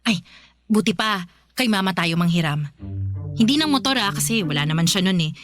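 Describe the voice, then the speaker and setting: A woman acting as a supportive young wife with a Filipino accent. Her voice is mid-pitched and reassuring, in radio drama style. Soft music sits behind her. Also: expressive, brisk, natural voice. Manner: reassuring, coaxing.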